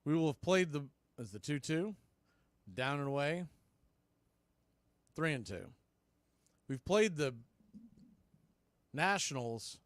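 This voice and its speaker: Slightly high male voice, dry close sound, baseball play-by-play, clipped calls, conversational asides. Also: expressive, slow pace, pauses often, no echo. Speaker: baseball radio play-by-play announcer. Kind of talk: live sports commentary.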